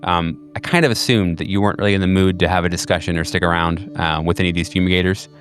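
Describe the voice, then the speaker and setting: Low-voiced male game master, rambling. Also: fairly even intonation, fast, fairly bright tone, soft background music. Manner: rambling, lots of fillers and restarts. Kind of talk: narrating a tabletop game.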